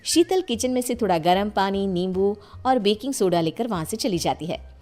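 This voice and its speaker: A woman's voice: mid-range voice, expressive, moderate pace, darkish tone. A woman narrating a family story as scripted storytelling.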